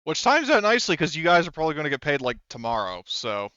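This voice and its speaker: A man's voice: on the high side, huge pitch swings, quick pace, sharp tone, smooth, no room sound. A man speaking casually as game master of a tabletop game, explaining things with hesitant asides. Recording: muffled, slightly lo-fi voice chat mic.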